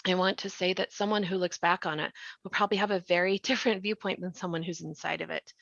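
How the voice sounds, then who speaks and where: A woman's voice: fairly low pitch, steady tone, fast talker, creaky, fairly bright tone, no room sound. A woman in a conversational discussion, explaining her points, with a slightly dull recording. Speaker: writer discussing her show.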